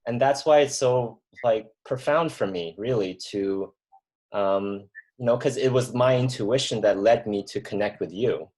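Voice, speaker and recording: A man in his thirties from mainland China hosting a reflective conversation, talking in an earnest, unhurried way and thinking aloud with fillers and restarts. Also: lowish voice, a little mellow, poor audio. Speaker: podcast host.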